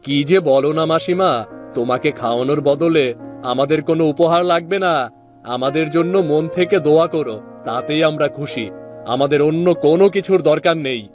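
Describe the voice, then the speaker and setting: A man's voice: a little high, a little fast, lightly acted. A man playing a kind, humble young village husband in a cartoon fairy tale, earnest and affectionate. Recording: faint music behind.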